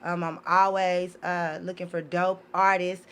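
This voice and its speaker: A woman's voice: lowish voice, level tone, a little slow, a bit bright, a little hiss or hum. A woman talking as a podcast guest in an impassioned, casual way, blunt, emphatic and given to swearing. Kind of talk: impassioned casual opinion talk.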